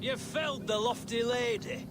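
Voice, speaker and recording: A man's voice: very high-pitched, moderate pace, noisy background. A man playing a blunt, plain-spoken Saxon ally of a Viking warrior in a video game. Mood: stern, scornful. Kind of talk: dramatic video game acting.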